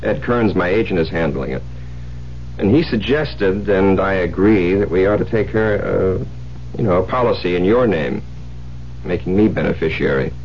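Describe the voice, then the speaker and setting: Deep-voiced sardonic husband, old radio drama. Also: male voice, expressive, normal speed. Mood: casual, insinuating. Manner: teasing, insinuating. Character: sardonic husband, a psychiatrist, smoothly probing his wife. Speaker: radio actor. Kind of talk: 1970s radio drama acting. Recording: muffled, noisy 1970s radio recording.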